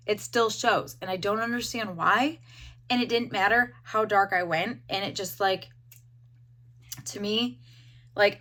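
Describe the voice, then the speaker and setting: Hoarse young woman, bright rambling chat. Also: mid-range voice. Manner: rambling, frequent "like" fillers. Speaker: beauty vlogger. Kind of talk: casual hair-dye review.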